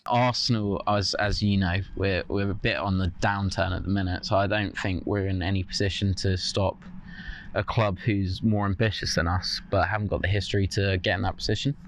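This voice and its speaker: A man in his twenties gives a blunt, indignant documentary interview about football. His voice is low. A faint noise sits behind him. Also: fairly even intonation, moderate pace. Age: 20s.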